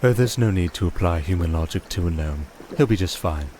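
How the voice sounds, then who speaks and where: A man playing a commanding, composed vampire archduke in a fantasy audio roleplay, speaking in a formal, measured, dryly teasing way. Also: deep voice, lively intonation, warm tone, slight background noise. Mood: gracious, composed.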